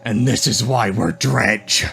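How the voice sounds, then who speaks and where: A man's voice: on the low side, slow, gravelly, fairly bright tone, faint music behind, heavily acted. A man playing a grim, menacing fighter in a tabletop game, delivering cold, terse threats. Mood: cold contempt. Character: grim, menacing, merciless monster-like male fighter.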